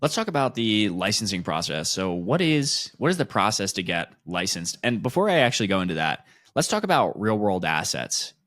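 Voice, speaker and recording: A man's voice: on the low side, big pitch swings, a little fast, fairly bright tone, dry recording, clean studio sound. A man hosting a podcast, who opens with an upbeat intro and then asks casual questions. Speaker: podcast host.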